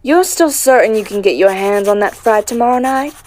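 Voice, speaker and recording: A woman's voice: a little high, a little fast, a little mellow, lightly acted. Woman playing a poised, wry lady cat. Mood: sweet, composed. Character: poised, wry adult female cat, speakeasy proprietress. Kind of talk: animated comic dub acting.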